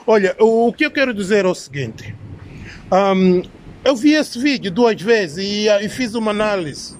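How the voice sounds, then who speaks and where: Angolan guy, pretty high voice, opinionated phone vlog out in an alley, some traffic noise, keeps repeating words for emphasis. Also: lively intonation. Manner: emphatic, repetitive, halting to search for words. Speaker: social media commentator. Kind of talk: opinionated vlog commentary to camera. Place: outdoors in an alley beside brick buildings. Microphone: phone at arm's length. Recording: clear outdoor street and traffic noise.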